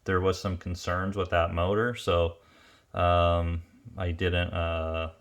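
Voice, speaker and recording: Low-voiced man talking through technical results. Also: flat delivery. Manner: halting, pauses mid-phrase while thinking. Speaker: ATV engine tuner and presenter. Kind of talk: informal technical product review, unscripted explanation.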